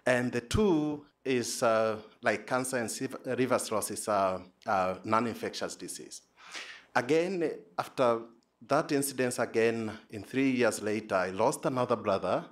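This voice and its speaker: A man with a Tanzanian accent giving an academic conference presentation as a PhD student, hesitant and halting, often repeating words. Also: medium pitch.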